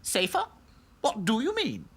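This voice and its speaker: A man's voice: very high-pitched, huge pitch swings, unhurried, fairly bright tone, breathy edge, voice pushed up, exaggerated voice. A man voicing a haughty, gushing female princess doll in a children's storybook. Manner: snooty, gushing.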